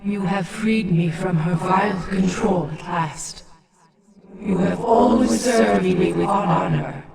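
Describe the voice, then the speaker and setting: A woman's voice: lowish voice, slow, vocal fry, darkish tone. A woman playing a dignified, dying old alien matriarch in a video game, solemn and stately. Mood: weary, grateful. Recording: echoey, distant, busy sound behind.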